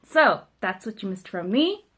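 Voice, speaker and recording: A woman's voice: mid-range voice, very animated, measured pace, fairly bright tone. Wry, chatty young woman. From South Africa. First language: Afrikaans. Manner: chatty, wry and self-mocking, with frequent ums. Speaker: vlogger. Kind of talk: casual video letter to a friend.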